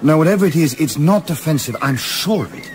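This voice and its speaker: An actor playing a blunt, earnest young English sailor with an English accent in a radio play. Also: male voice, on the high side, expressive, a little fast, slight background noise, lightly acted. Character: Davis, earnest, plain-spoken young English yachtsman.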